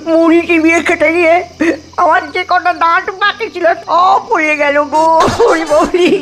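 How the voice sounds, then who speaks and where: A woman's voice: very high voice, fairly even intonation, normal speed, fairly bright tone, slight background noise. A woman voicing a whining, dramatic young boy in a cartoon, pleading and wailing to a grandmother. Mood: whining despair. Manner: whiny, wailing, repetitive pleading. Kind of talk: exaggerated cartoon voice acting. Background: music.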